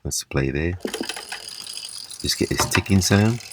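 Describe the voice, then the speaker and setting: Very deep-voiced man demonstrating a repair. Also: lively intonation, background noise. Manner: halting, step-by-step explaining. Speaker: repair video presenter. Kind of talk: casual hands-on repair demonstration. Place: small indoor room at a table.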